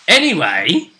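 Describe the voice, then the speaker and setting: Bright-voiced man telling a game story. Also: a little high. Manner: halting, repeats phrases as the story builds. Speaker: game master recapping a tabletop session. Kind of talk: casual spoken storytelling.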